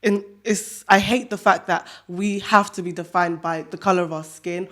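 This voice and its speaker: A woman's voice: lowish voice, fairly even intonation, moderate pace, a bit bright. A young woman co-hosting a casual podcast, speaking frankly and agreeing often with the others. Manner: agreeable, frank, lots of backchannel. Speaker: podcast co-host.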